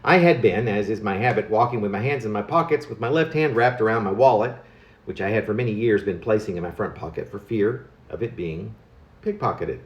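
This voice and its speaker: A man reading his own reflective personal writing as a poet, musing and halting, with self-corrections along the way. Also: on the low side, reverberant.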